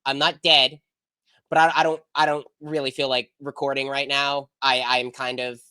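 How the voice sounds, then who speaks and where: Bright-voiced, congested young American man. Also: medium pitch, flat delivery, normal speed, smooth, dry recording. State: sick with congestion. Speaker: podcast host. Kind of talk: casual chat.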